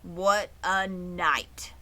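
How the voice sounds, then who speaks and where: Storybook casting: husky, greedy, boastful male rat played by a woman, bloated and slow-talking. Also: lowish voice, sharp tone, heavily acted. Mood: gloating, exhausted.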